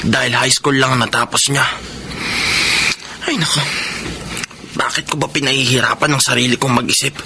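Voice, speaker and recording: An actor playing a restless young brother in a Filipino radio drama, talking in a colloquial, musing way. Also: male voice, medium pitch, steady tone, moderate pace, long pauses, heavy background noise, lightly acted. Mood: puzzled musing. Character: restless young brother, a gambling tricycle driver. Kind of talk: Tagalog radio drama acting.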